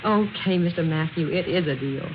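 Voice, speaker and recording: A woman's voice: lowish voice, moderate pace, loud music behind the voice, natural voice. An actress playing a polite, proud, guarded young woman out of work, in 1940s radio drama style. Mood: warming, wry. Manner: polite, guarded, gently wry. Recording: muffled, noisy old radio recording.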